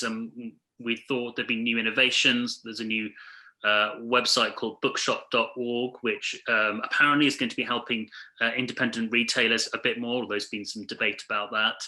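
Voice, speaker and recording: A man's voice: medium pitch, fairly even intonation, a little fast, bright, forceful tone. A man speaking informally as a panel guest on a video call, with hesitant, stop-start phrasing. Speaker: panel speaker, arts editor and book prize judge.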